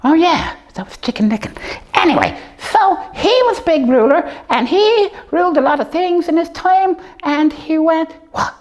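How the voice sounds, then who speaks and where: A woman's voice: extremely high pitch, lively intonation, normal speed, darkish tone. A woman voicing a bossy, childlike know-it-all puppet who rambles through muddled stories in an improvised comic show. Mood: confident, rambling.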